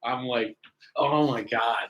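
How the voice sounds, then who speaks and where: A man's voice: medium pitch, takes it slowly. A young man co-hosting a casual podcast chat, laid-back and chuckling along. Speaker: podcast co-host. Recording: roomy echo with faint noise.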